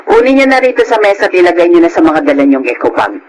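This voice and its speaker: A woman's voice: lowish voice, big pitch swings, quick pace, a crowd in the background, poor audio. An actress playing a kind, motherly aunt in a Filipino radio drama, fussing and pleading with care. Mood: caring, fussing.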